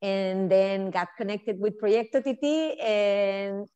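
A woman's voice: mid-range voice, fairly even intonation, slow pace, mellow, clean tone. A woman in her fifties with a Colombian accent, a conservationist guest telling her story earnestly over a video call with earbuds. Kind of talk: casual interview. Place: indoor home room. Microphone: earbud mic on video call.

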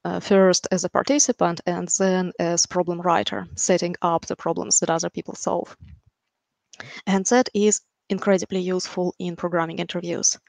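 A woman in her thirties speaks with a Ukrainian accent in a slightly low voice. She is an interview guest telling her story in a measured, drily humorous way, into a headset with very little room sound. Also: level tone, vocal fry, a little mellow, no room sound. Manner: dry understated humour. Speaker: podcast guest, software engineer. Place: room at home, video call. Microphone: headset with boom mic.

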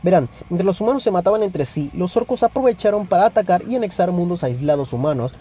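A man's voice: high voice, animated, quick pace, warm tone, soft background music. A man narrating a lore explainer, speaking informally and addressing the viewer directly. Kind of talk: scripted narration.

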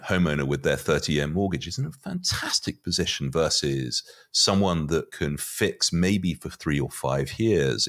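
A middle-aged man giving conversational market commentary as a guest, often halting and repeating words as he thinks. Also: rumbling low, fairly bright tone. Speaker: podcast guest, finance commentator. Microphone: podcast mic on boom arm.

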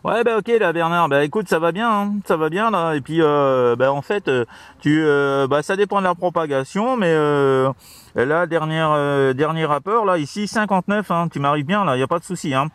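A man's voice: a little high, normal speed, fairly bright tone, clean tone, no room sound. A man chatting casually as a CB radio operator, hesitant and repetitive, with constant tag words. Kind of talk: casual radio contact chat. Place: outdoors, crouched in grassy scrubland.

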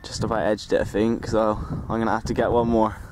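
A man's voice: on the low side, brisk, noisy background. A young man talking casually and a little hesitantly in a fishing vlog. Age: young adult. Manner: relaxed, hesitant with fillers. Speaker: angler vlogger. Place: outdoors.

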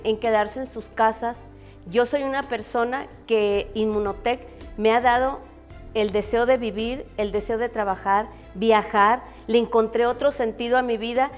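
A woman of seventy giving a proud, emphatic testimonial to camera on a lapel mic. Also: on the high side. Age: 70s. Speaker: company testimonial speaker. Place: bright indoor studio.

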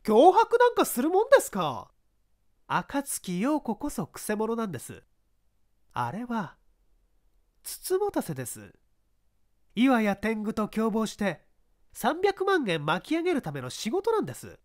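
A man voicing a brazen young woman, a haughty and sharp-tongued scout, in a dramatised audiobook. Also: sits high, wildly swooping pitch, measured pace, long pauses, full character voice. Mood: indignant.

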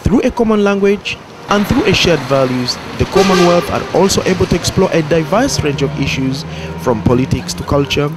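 A man narrating a documentary voice-over in a formal, lively way. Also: fairly high pitch, moderate pace, hardly any pauses, background noise. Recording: voice-over with clear background music.

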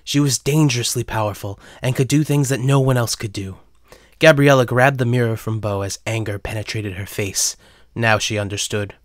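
A man's voice: medium pitch, normal speed, no room sound, studio quality. A man narrating a scripted dramatic story as the narrator.

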